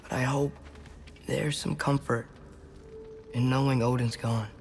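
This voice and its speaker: An actor playing an earnest, gentle teenage boy hero in a fantasy video game, speaking in a halting, sincere way. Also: mid-range voice, steady tone, gravelly, soft background music. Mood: sorrowful, sincere. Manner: halting, sincere, soft-hearted.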